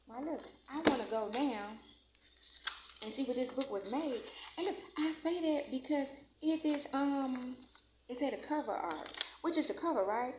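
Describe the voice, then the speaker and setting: A woman from Chicago in her forties, casually reviewing a book on a vlog, reading aloud haltingly and rambling with many ums. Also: on the high side. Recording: echoey room, muffled lo-fi with faint noise.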